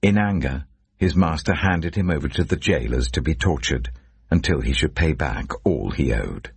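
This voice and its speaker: Male scripture narrator, extremely low voice, gravelly, solemn, measured, dramatic storytelling. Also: animated, no room sound. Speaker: Bible narrator.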